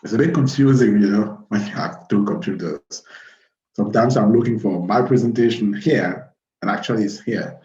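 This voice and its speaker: A man in his thirties from Cameroon hosting an informal live webinar, speaking haltingly and repeating phrases. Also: fairly low pitch, mellow. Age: 30s. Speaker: webinar host. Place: small plain room indoors. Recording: roomy, dull webcam audio.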